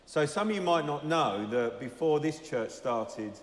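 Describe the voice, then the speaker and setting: A man's voice: a little high, lively intonation, a little slow. Chatty man hosting in a church. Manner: chatty, loud, rambling. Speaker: church leader. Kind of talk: casual church interview hosting. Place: church hall. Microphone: handheld microphone over PA. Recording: hall reverb from church PA.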